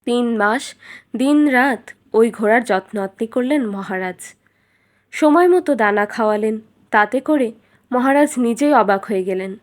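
A woman's voice: fairly high pitch, normal speed. A woman reading scripted narration as the storyteller of a children's fairytale.

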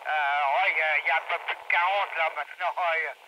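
A man heard over a two-way radio, chatting casually in halting, repetitive phrases during a radio check. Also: a little high, steady tone, dull audio. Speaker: amateur radio operator. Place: heard through handheld radio outdoors. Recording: noisy walkie-talkie speaker picked up outdoors.

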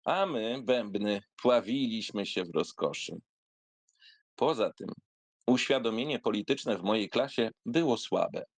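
A man giving a theatrical reading of a literary memoir, in an expressive, wry, conversational storytelling manner. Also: fairly low pitch, unhurried, no echo. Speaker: narrator reading a literary essay aloud.

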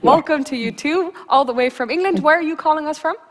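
A woman's voice: high-pitched, fast. A young woman hosting a live event on stage, chatty and informal with frequent fillers. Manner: chatty, many fillers, laughs often. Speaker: event host. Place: large stage hall. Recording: reverberant hall.

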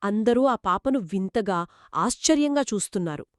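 A woman's voice: mid-range voice, animated, moderate pace, no echo, clean studio sound. A woman co-narrating a children's moral story in a conversational storytelling style. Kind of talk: conversational two-person storytelling.

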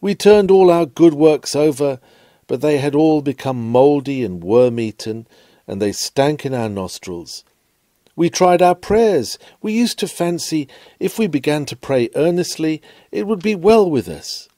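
A man's voice: fairly high pitch, animated, measured pace, dark tone, no room sound, clean studio sound. A man reading an old sermon aloud in an earnest, rhetorical and emphatic way. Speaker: sermon reader.

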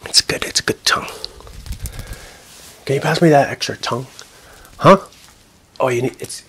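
A man whispering through a silly doctor-visit ASMR roleplay, talking softly and unvoiced into a close microphone. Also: mid-range voice, vocal fry, breathy edge.

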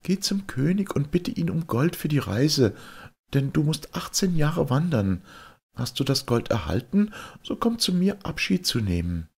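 High, breathy fairy-tale wife voiced by a man. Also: sing-song, unhurried, full character voice. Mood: gentle, calm. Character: clever, calm, devoted young wife in fairy tale.

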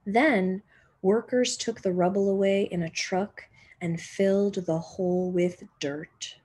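Slow, slightly husky woman reading a storybook. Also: mid-range voice, steady tone, a little mellow. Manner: deliberate, dramatic pauses and emphasis. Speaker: teacher reading a picture book aloud. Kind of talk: expressive children's storybook narration.

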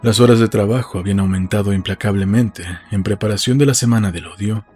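A man's voice: lowish voice, steady tone, quick pace, dark tone. A man narrating a novel as a scripted literary audiobook. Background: faint music.

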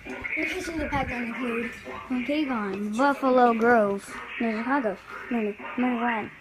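A woman's voice: on the high side, normal speed, poor audio. A woman casually commenting as she hosts a reaction video.

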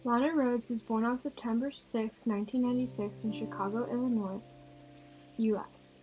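Even-toned woman narrator, slightly high. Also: rough recording. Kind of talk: scripted biographical narration. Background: music.

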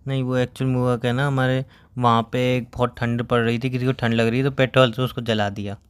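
A man's voice: medium pitch, flat delivery, fast, clear, resonant tone. A sleepy young man chatting casually on a phone call as a boyfriend. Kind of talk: casual couple's phone chat.

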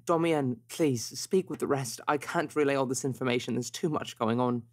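A man's voice: medium pitch, normal speed, darkish tone. A man playing in a tabletop roleplaying podcast, speaking in character and describing what the character does. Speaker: player in an actual-play podcast.

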